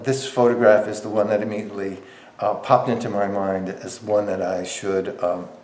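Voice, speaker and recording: An echoey gallery room carries the voice of a man of average pitch. He talks as a photographer walking viewers through pictures, articulate and reflective, pausing to choose his words. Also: a little mellow. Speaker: photographer explaining own exhibited work. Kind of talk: explanatory gallery talk about artworks. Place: indoor art gallery.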